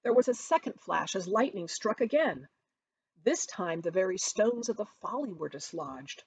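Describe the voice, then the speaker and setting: A woman author reading her own novel aloud in an expressive storytelling narration. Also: medium pitch, moderate pace, husky.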